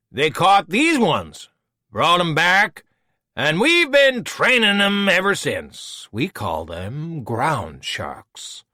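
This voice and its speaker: A taunting, boastful male villain in a storybook, put on by a man reading aloud. The voice is pushed higher and louder than the reader's own, with wide, lively swings. Dry, close recording. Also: no echo, exaggerated voice. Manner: taunting, mocking.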